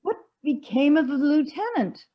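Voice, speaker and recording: A society lady played by a woman chats in a high, very lively voice, sympathetic and gossipy. Her stage-play delivery is theatrical and emphatic, heard through dull lo-fi audio. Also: moderate pace. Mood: curious, sympathetic. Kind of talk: one-act stage play performed on a video call.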